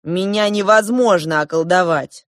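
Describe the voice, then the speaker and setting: A woman's voice: lowish voice, a little slow, no echo, clean studio sound, close to an everyday voice. A woman voicing a boastful, imperious elder prince in an audiobook, with grand exclamatory delivery. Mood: threatening.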